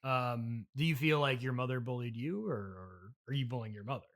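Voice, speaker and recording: A man's voice: mid-range voice, a little fast, fairly bright tone. A man interviewing in an improvised comedy conversation, hesitant and stammering, with an awkwardly defensive manner.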